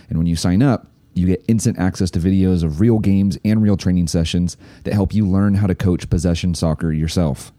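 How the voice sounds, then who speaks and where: A man's voice: low-pitched, dry recording, studio quality. A man hosting a coaching podcast, talking casually and enthusiastically with plenty of fillers and restarts. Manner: relaxed, filler-heavy, enthusiastic ad read. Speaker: podcast host. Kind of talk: casual podcast intro and interview.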